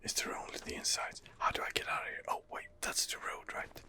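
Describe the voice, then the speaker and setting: A man hosting an ASMR game playthrough, muttering hesitant commentary in a breathy whisper. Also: fairly quick, sharp tone. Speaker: gaming video host.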